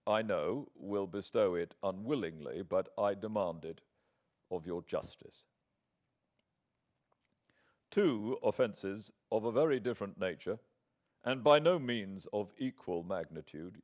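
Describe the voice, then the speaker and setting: A British man reading in the part of a proud, wounded young gentleman in a classic novel, formal, measured and emphatic. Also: on the low side. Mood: stiff, insistent, then indignant. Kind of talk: dramatic audiobook reading.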